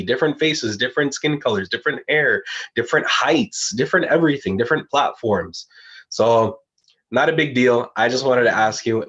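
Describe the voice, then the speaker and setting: A man's voice: mid-range voice, fairly bright tone, slightly rough, dull audio. A man, a pageant analyst who hosts a live online interview, talking in an enthusiastic, supportive way and often repeating words. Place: United States.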